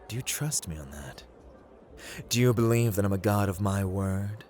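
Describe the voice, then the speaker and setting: Low, theatrical man acting as a teasing trickster god. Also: animated, a little hiss or hum, close to an everyday voice. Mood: sly, teasing. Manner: theatrical, teasing, deliberate, dropping into hushed murmurs. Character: playful, taunting male god of luck, smooth-talking card dealer. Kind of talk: ASMR roleplay acting.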